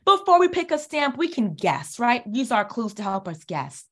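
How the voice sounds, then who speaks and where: A woman's voice: on the high side, big pitch swings, normal speed. A woman in her thirties doing an interactive read-aloud for young children, encouraging them and asking questions, recorded indoors on a video call. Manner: encouraging, exclamatory, asks questions and answers them. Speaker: children's author reading own book. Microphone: computer mic on video call.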